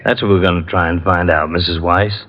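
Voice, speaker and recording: An American actor playing an earnest, worried homicide lieutenant in an old-time radio drama. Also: male voice, deep voice, steady tone, fairly quick. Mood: grave, businesslike. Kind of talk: 1940s-50s radio drama acting. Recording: dull, echoing old radio recording.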